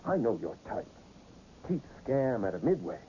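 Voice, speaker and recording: A man's voice: mid-range voice, very animated, moderate pace, mellow, close to an everyday voice. Muffled, noisy old radio drama. Male actor as hard-nosed skeptical cop, mid-range voice, curt and bullying. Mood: hard, accusing. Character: tough, skeptical plainclothes police detective. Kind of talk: 1980s radio drama acting. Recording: dull, noisy old radio broadcast recording.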